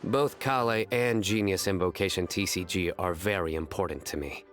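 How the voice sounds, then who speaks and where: A stern young man speaks tersely and deadpan in a low voice. Faint game music plays behind him. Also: moderate pace. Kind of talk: video game voice acting.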